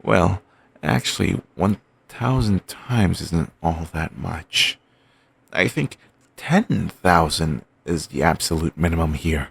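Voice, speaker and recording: A man playing an obsessive, doting young boyfriend, murmuring soft, fixated repetitions in an audio roleplay. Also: low-pitched, expressive, slow pace, vocal fry, husky, full character voice.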